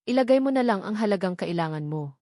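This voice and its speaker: A woman's voice: medium pitch, expressive, quick pace, mellow, no room sound, studio quality, natural voice. A woman with a Filipino accent voicing a generous, concerned, protective man in a narrated romance story. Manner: short caring questions, mixes English and Tagalog.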